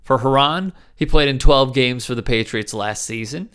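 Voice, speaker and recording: A man's voice: mid-range voice, moderate pace, fairly bright tone, smooth. Man reading sports news steadily. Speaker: sports show host. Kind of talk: news commentary read from script.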